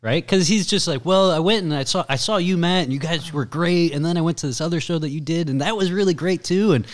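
A man's voice: a little high, fast. A man co-hosting a comedy podcast, trading sarcastic, teasing quips with his guest. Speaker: podcast co-host and stand-up comedian. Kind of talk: casual podcast banter.

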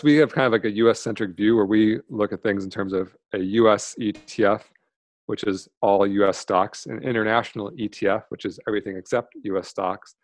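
A fast-talking American man with a low-set voice, chatting loosely on a podcast and tripping over fillers and restarts. The sound is dry and close, with no room in it. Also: dry recording. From Michigan, USA. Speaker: podcast guest. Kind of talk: casual interview talk.

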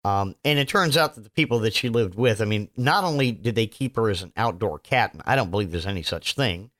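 A man hosting his own podcast, his voice still hoarse from illness, rambling casually with lots of fillers and self-mocking asides. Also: fairly low pitch, animated, a little fast, a bit bright. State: hoarse, recovering voice. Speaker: podcast host. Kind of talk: casual solo podcast monologue.

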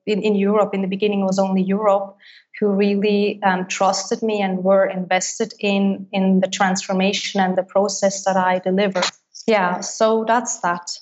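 A woman talks through her answers in a relaxed, fluent way, peppering them with fillers and small restarts. Her pitch barely moves, so the delivery sounds level and even throughout. The recording is dull and lo-fi. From Switzerland. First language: Swiss German. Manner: fluent, many likes and restarts. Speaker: business coach, interview guest. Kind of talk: casual interview answers.

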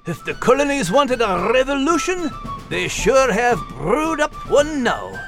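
Casting: plucky cartoon-style critter, high-pitched, wildly swooping delivery, audiobook comedy. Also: male voice, sing-song, a little slow, music in the background, heavily acted. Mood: spirited. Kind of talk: animated audiobook character acting.

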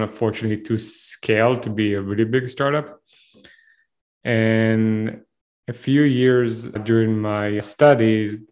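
A man with an Israeli accent speaks in a low voice with very little rise and fall. He tells his story at length in an interview, candid and a little rambling. He is a startup chief executive in his thirties. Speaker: startup CEO guest.

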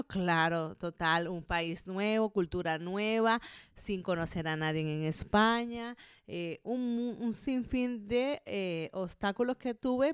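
A Colombian woman in her thirties speaks as a podcast host with a smooth, clear voice at a medium pitch. She talks in an encouraging, conversational way, addressing the listener directly. The recording is dry and close, with very little room sound. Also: dry recording. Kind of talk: motivational monologue, conversational.

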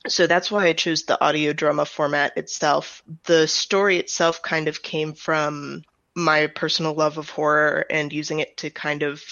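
A woman's voice: deep voice, flat delivery, normal speed, a bit bright, no room sound. Dry, dull-sounding American woman. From United States. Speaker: audio drama writer and director, interview guest. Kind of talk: casual, explanatory interview talk.

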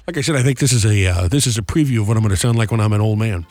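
A man co-hosting a show who is hoarse with laryngitis. He jokes and rambles through casual morning-show banter. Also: on the low side, darkish tone, soft background music. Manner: joking, quick and rambling. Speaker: radio show co-host.

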